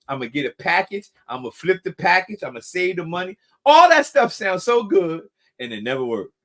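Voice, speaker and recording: A man's voice: high-pitched, sing-song, moderate pace, a bit bright. A middle-aged man leading a recovery group, giving a motivational talk that repeats phrases for emphasis and calls the listeners y'all.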